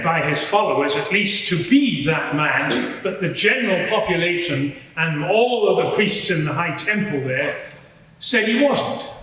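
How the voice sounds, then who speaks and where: A man playing a matter-of-fact Roman centurion giving hesitant, measured testimony as a witness at an inquiry. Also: on the high side, pushed and bright. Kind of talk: semi-improvised courtroom testimony. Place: large reverberant courtroom. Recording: very echoey hall, distant mic.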